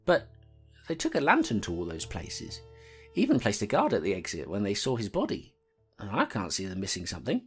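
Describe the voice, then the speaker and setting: A woman playing a low-voiced constable detective. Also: wildly swooping pitch, fairly quick, faint music behind, heavily acted. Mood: matter-of-fact. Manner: matter-of-fact storyteller. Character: rattled female constable narrating her case. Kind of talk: audio drama acting.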